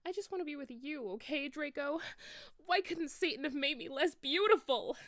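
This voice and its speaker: A woman's voice: sits high, expressive, normal speed, a bit bright, dry recording, studio quality, voice pushed up. A woman voicing a melodramatic, vain teenage goth girl who swears through overwrought outbursts. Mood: whiny outrage.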